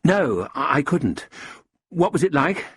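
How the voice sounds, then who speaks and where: A man doing a bewildered, polite gentleman, husky and mild. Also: on the high side, sing-song, a little slow, no room sound, clean studio sound, voice pushed up, full character voice. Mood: puzzled. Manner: mild, apologetic and puzzled.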